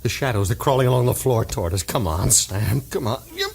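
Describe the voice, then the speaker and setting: An actor playing a headstrong, curious man in a vintage American radio horror drama, gravelly and melodramatic, on a hissy old recording. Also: mid-range voice, expressive. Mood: urgent dread. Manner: slow, intense, melodramatic delivery. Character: headstrong man called Doc, curious, then gripped by terror. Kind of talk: 1930s-40s American radio horror acting.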